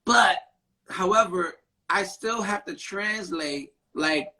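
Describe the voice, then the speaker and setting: A man hosting a casual live-stream talk, speaking in an animated, emphatic way and often ending thoughts with "right?". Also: high voice, takes it slowly, low-quality recording. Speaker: podcast host; therapist.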